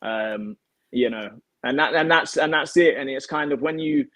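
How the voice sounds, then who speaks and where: A young man chatting as an interview guest on a video call, agreeable and halting, repeating words as he goes. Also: mid-range voice, sharp tone, dry recording. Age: young adult. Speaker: guest, karate athlete. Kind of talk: casual interview chat. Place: home kitchen, on a video call.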